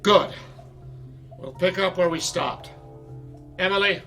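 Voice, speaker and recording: An actor playing a stern, impatient middle-aged college theater director in a radio drama. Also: male voice, high voice, slow, vocal fry, pushed and bright, soft background music, exaggerated voice.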